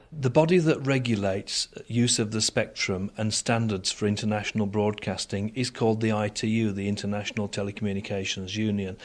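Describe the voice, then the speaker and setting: A man with a lowish voice and very little melody answers radio interview questions. He explains technical matters in formal, measured sentences and articulates his points with care. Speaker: interviewed expert on broadcast technology.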